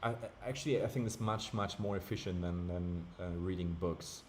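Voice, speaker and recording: Young German-accented male streamer, low register, easygoing live commentary, thinks aloud. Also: brisk, a little hiss or hum. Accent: German with British and American traces. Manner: relaxed, thinking aloud, chatty.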